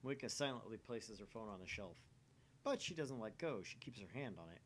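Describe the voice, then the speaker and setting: A man's voice: on the low side, animated, fairly quick, a bit bright, slight background noise. A man hosting a gaming channel, reading aloud expressively and dropping in casual asides.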